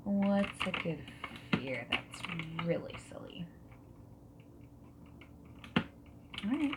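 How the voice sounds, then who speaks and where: A woman speaking softly and breathily in an ASMR roleplay, often slipping into whispers as she asks gentle, reassuring questions like a friendly interviewer. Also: medium pitch, extremely slow. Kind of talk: soft-spoken ASMR roleplay. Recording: steady noise, keyboard typing and clicks. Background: mechanical keyboard typing and clicks.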